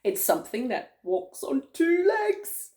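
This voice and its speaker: A big greedy storybook crocodile, acted out by a woman, gloating over every word. The delivery is very slow and drawn out, full of sly menace and wide swoops. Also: sits high, sing-song, darkish tone, pitched above the performer's own voice, exaggerated voice.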